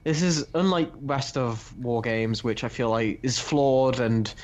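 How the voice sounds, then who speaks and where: A man with a medium-pitched voice gives opinions as a podcast panelist. He speaks casually and haltingly, rambling and restarting as he builds his point. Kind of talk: casual comic book discussion.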